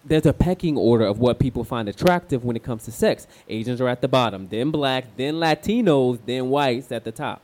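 A man's voice: mid-range voice, lively intonation, fairly quick, hardly any pauses, a little mellow, lightly acted. An actor playing a candid, streetwise young male escort as a radio show guest, with an American accent and slangy, confiding talk. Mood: candid, matter-of-fact. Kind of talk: radio play acting, slangy conversational monologue.

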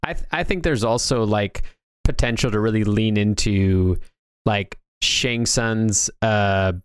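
A man's voice: on the low side, slow, smooth, no room sound, studio quality. A man hosting a casual pop-culture discussion, talking in a loose, rambling way and often repeating himself. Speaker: podcast host. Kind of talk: casual discussion of video game movies.

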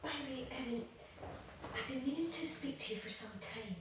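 A woman's voice: sits high, lively intonation, brisk. A woman playing a gushing, bossy doting mother in an audio play, breezy and flighty. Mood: briskly imposing. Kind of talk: audio drama acting. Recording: very echoey, distant, faint noise.

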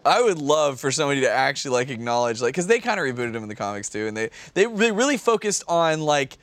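A man's voice: medium pitch, very animated, fast, fairly bright tone, no echo. A man on a podcast panel telling stories casually, with slangy, sweary wording and lots of "like". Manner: slangy, sweary, heavy use of "like". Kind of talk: casual, informal storytelling chat.

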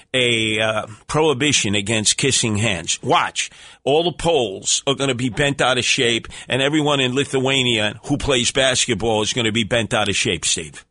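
A man's voice: bright, forceful tone, no echo. A man hosting a talk radio show, brash and mocking, bantering with callers. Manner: brash, mocking, theatrical swings in pitch. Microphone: studio microphone.

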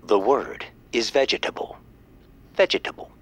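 A man playing a solemn quiz show announcer in an audio comedy, hushed and deliberate. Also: medium pitch, lightly acted.

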